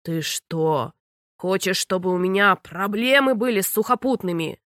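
A blustering sea king with a deep, slow, booming scold, as a woman narrator puts him on. He drags out his words like a stern storybook father. The voice sits close and dry. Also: lively intonation, fairly bright tone, no room sound, lightly acted. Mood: angry scolding.

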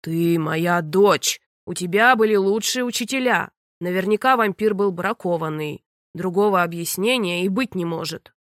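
A woman voicing a stern, blustering sea king father who scolds slowly and heavily. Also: fairly bright tone, no echo, clean studio sound, lightly acted. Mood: angry scolding. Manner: booming, scolding, drawn-out.

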